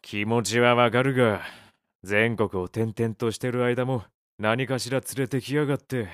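An actor playing a gruff, vain café owner who talks bluntly and casually in an audio drama. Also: male voice, mid-range voice, steady tone, moderate pace, a bit bright, no room sound, studio quality, lightly acted. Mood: resigned. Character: gruff, grumbling male café owner, rough-edged, vain. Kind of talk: Japanese audio drama acting.

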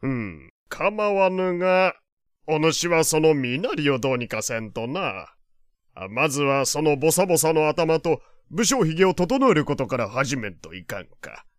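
A wealthy elderly gentleman in an audio drama. His fairly high voice rises and falls freely as he speaks in grand, archaic phrases with a teasing courtesy. The sound is close and dry. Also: sing-song, a little slow, dry recording. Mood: scolding. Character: elderly male wealthy patron, genteel, teasing, old-fashioned. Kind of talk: Japanese audio drama acting.

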